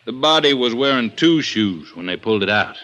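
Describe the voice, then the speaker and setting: Sardonic gruff police lieutenant. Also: male voice, medium pitch, lively intonation, a little fast, sharp tone. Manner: sardonic, blunt. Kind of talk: 1950s radio drama acting.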